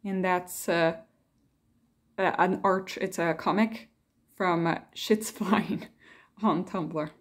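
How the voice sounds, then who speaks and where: A woman's voice: on the low side, fairly even intonation, slow, long pauses. A woman vlogger talking casually and reflectively in a hesitant, rambling way, reading quotes aloud between her own thoughts.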